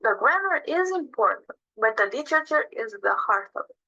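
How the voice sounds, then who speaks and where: A young woman whose first language is Romanian, telling her story of learning English at a webinar in earnest, slightly halting English. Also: on the high side, big pitch swings, pushed and bright, dull audio. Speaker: webinar speaker. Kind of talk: personal testimony read aloud.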